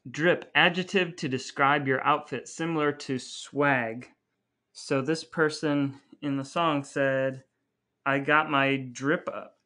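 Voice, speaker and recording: A man's voice: medium pitch, steady tone, slow pace, sharp tone. A man co-hosting a podcast with casual, comedic talk. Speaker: podcast co-host.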